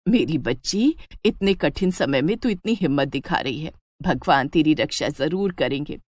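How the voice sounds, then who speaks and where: A woman's voice: low voice, expressive, moderate pace, darkish tone, dry recording. A woman playing a kind, caring middle-aged village mother, tender and sympathetic, in a moral story. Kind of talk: dramatic Hindi moral-story voice acting.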